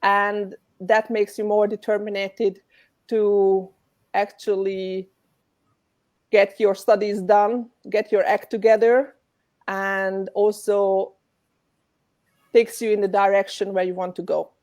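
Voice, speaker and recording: A woman's voice: mid-range voice, flat delivery, slow, long pauses, darkish tone. A woman from Hungary with a Hungarian accent, answering interview questions over a video call in a webinar. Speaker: guest speaker.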